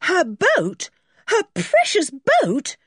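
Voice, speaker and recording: A woman's voice: mid-range voice, wildly swooping pitch, normal speed, slightly rough, dry recording, studio quality. A woman voicing a bossy, possessive tomboy girl in a storybook, demanding and indignant.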